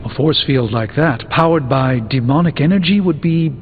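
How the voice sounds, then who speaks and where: An actor playing a solemn, learned middle-aged sorcerer in a video game, speaking in a formal, measured, grave way. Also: male voice, on the high side, expressive, natural voice. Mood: measured, intent. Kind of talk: scripted video game dialogue. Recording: narrow phone-like sound, music behind.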